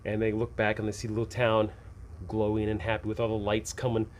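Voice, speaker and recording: A man's voice: on the low side, monotone, quick pace. A man hosting an advice show, talking through tips and examples in an informal, rambling, conversational way. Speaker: host of a tabletop game-mastering advice show. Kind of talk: conversational instructional talk, explaining with examples. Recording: clearly audible background noise.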